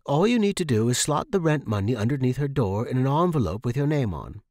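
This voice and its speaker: A man voicing a stern, bossy landlady in audio fiction, giving brisk, clipped instructions. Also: mid-range voice, big pitch swings, a little mellow, no room sound, studio quality, natural voice. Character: stern, bossy woman landlady with strict house rules. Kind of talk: audio fiction character reading.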